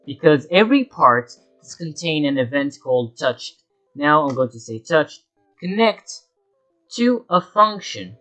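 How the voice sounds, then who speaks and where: A man's voice: a little high, fairly bright tone. A man giving an unscripted screen-share tutorial, talking through each step as he works and often repeating himself. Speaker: tutorial presenter.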